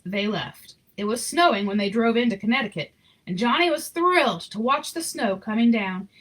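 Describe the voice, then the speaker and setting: Lively higher-voiced woman reading a storybook aloud. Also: poor audio. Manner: expressive, informal, chatty. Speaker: story reader. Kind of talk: read-aloud of a children's picture book with chatty asides.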